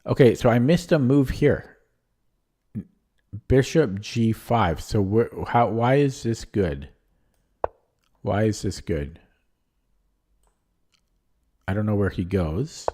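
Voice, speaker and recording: A man with a lowish, mellow voice that is a little strained, thinking aloud while he streams chess. He talks casually and hesitantly and keeps questioning his own moves. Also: expressive, takes it slowly, pauses often. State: voice strained from talking. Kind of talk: casual live-stream commentary.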